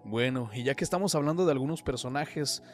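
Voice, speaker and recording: A man's voice: mid-range voice, fast talker, soft background music. A man hosting a podcast, asking curious, conversational questions in a casual interview. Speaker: podcast host.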